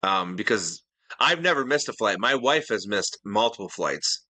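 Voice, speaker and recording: A man's voice: on the low side, expressive, normal speed, bright, forceful tone, no room sound. A middle-aged man co-hosting a casual podcast chat, earnest and detail-minded, often restarting his sentences. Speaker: podcast co-host.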